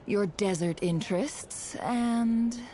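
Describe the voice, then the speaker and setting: A woman voicing a composed, courteous, quietly teasing noblewoman in a video game, speaking in a polite, measured, knowing way. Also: medium pitch, background noise, lightly acted. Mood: gently teasing.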